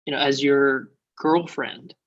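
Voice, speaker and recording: A man's voice: medium pitch, fairly even intonation, slow. Guy reading a meek awkward young friend, hesitant, kinda dull lo-fi sound. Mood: questioning. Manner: hesitant, mild delivery. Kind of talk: table read of a comedy script.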